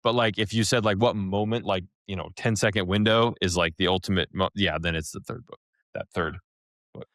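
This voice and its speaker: A man with a low-pitched voice chats as a podcast co-host, recorded dry and close. He talks informally, in slangy, emphatic hyperbole, as if among friends. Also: fairly even intonation, a little fast, a bit bright, dry recording. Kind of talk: casual podcast chat.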